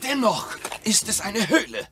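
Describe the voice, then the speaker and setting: An actor playing a stubborn, testy husband in a radio drama, speaking in a curt, dryly formal, pointed way. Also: male voice, high-pitched, huge pitch swings, unhurried, noisy background, exaggerated voice. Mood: eager, insistent. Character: stubborn, testy husband digging obsessively in his garden. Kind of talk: German radio drama acting. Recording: long reverberant echo.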